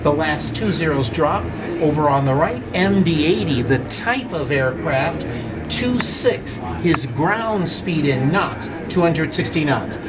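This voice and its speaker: A man in his sixties giving an interactive guided demonstration to visitors, speaking emphatically in short phrases with pauses and questions. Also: a little high, animated, loud music behind the voice. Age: 60s. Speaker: museum docent. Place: indoor museum exhibit. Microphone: visitor's handheld camera a short distance away.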